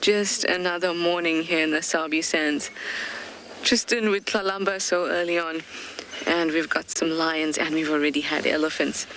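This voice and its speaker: A woman's voice: low-pitched, fairly even intonation, normal speed, fairly bright tone, slight background noise. A woman working as a safari guide, thinking aloud in chatty, curious live commentary from a vehicle in the bush. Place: game drive vehicle outdoors in the bush.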